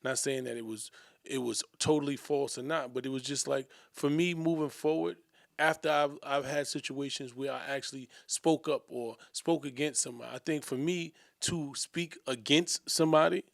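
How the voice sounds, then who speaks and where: A man co-hosting a discussion show, reflecting aloud in a measured way and often asking "right?". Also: mid-range voice, steady tone, vocal fry, no room sound, studio quality. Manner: measured, thinking aloud, tag questions. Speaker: podcast co-host.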